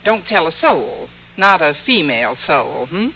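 An actress playing a sardonic, quick-witted woman English teacher in an old radio sitcom, with dry comic timing. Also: sits low, sing-song, husky, lo-fi sound, heavily acted. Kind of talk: 1940s-50s radio sitcom narration and acting. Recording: hissy vintage radio recording.

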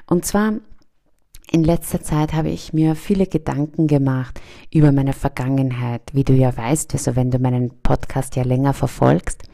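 A woman's voice: extremely low pitch, fairly even intonation, normal speed, vocal fry, warm tone. A young woman, raised in Austria after being born in Iran, hosting a podcast and giving an earnest motivational talk aimed straight at the listener. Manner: earnest, speaks directly to the listener. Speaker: podcast host.

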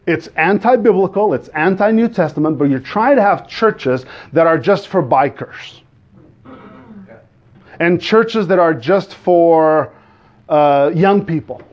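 Portuguese-accented man preaching, slightly higher voice. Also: darkish tone, muffled recording. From Azores, Portugal. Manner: earnest, halting delivery with frequent restarts, asks the congregation questions. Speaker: guest preacher. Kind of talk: sermon.

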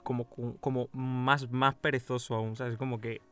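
A man's voice: medium pitch, little pitch movement, normal speed, fairly bright tone, smooth, no echo, faint music behind. A young man from Cuba who speaks with a Madrid Spanish accent, co-hosting a casual podcast chat and explaining at length with frequent laughs. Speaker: podcast co-host.

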